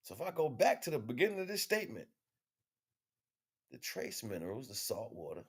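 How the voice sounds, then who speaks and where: A man delivering an impromptu spiritual monologue in an emphatic, repetitive, slangy street-preacher manner, with a husky voice. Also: medium pitch, wildly swooping pitch, brisk. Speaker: spiritual teacher streaming online.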